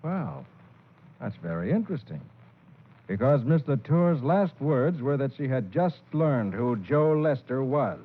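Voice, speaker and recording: An actor playing a composed, authoritative master detective with crisp, articulate delivery in a 1940s radio crime drama. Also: male voice, medium pitch, big pitch swings, measured pace. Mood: firm, probing. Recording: narrow, noisy 1940s radio recording.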